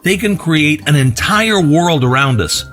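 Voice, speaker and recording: Lively man narrating an explainer. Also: fairly high pitch, faint music behind. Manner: even, measured explainer read. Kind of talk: scripted educational narration.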